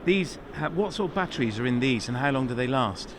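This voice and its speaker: A man's voice: mid-range voice, lively intonation, fairly quick. A middle-aged man hosting an interview, asking questions with enthusiasm. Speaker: podcast host. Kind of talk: trade show interview. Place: exhibition stand indoors. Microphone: handheld interview mic. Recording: noisy trade show floor.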